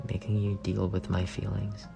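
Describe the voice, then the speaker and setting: Music plays behind a male actor as a lovestruck young man in an intimate ASMR roleplay. His voice is low with a heavy creak. He is shy and tender, hesitating often and sinking into breathy murmurs. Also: monotone, moderate pace. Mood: anxious, self-doubting. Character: shy, lovestruck young roommate confessing softly to a sleeping friend.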